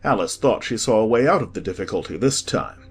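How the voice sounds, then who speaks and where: Female narrator, extremely deep voice, scripted storybook reading, faint music underneath. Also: animated, a little fast, a little mellow, husky.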